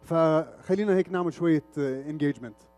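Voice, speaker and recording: Fast, higher-voiced man on stage. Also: warm tone, soft background music. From Lebanon, grew up in Saudi Arabia. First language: Arabic. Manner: informal, switches between Arabic and English. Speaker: conference speaker, media executive. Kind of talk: interactive stage presentation to an audience.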